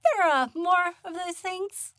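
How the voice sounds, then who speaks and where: A woman's voice: very high voice, takes it slowly, fairly bright tone, no echo, clean studio sound, heavily acted. A woman playing a blunt, sarcastic and grumpy young dead woman in a fantasy audio drama. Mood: curious, alarmed. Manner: sarcastic, casual, slangy.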